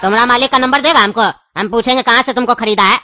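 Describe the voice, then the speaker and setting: A man voicing a cheeky, mouthy tempo driver in a cartoon, brash and mocking, with a Bihari Hindi accent and a pitched-up voice. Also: fast talker, bright, forceful tone, a little hiss or hum, heavily acted.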